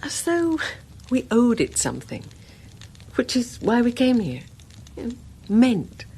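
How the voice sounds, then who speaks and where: A anxious young British wife in a radio play. Her voice sits fairly high and swings widely in pitch, with a husky edge. She is gushing yet brittle, insistent and guarded about her secret. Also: huge pitch swings, unhurried, warm tone, full character voice. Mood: happy, dreamy. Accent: British English. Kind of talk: radio drama acting.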